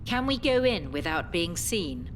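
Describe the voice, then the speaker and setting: An actress playing a stubborn elderly care home resident who believes in conspiracies, in an audio drama. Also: female voice, fairly low pitch, big pitch swings, measured pace, background noise. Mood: bewildered. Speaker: voice actor.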